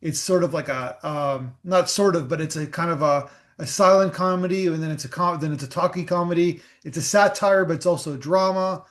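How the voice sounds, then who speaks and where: Male podcast host, slightly high voice; loose, rambling questioner with lots of restarts. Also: brisk, few pauses. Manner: rambling, frequent restarts and repeated words. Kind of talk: casual interview talk.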